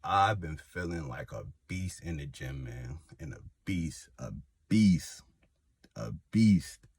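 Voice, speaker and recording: A man's voice: rumbling low, animated, slow, creaky, a little mellow, slightly rough, no room sound. A young man, telling a rambling personal story to camera with many fillers and restarts. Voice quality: slight lisp. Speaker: vlogger. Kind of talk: casual personal testimony.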